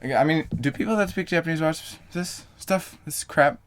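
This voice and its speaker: A man's voice: on the high side, moderate pace, a little hiss or hum. A young man vlogging to camera in a casual, rambling way, full of fillers and self-corrections.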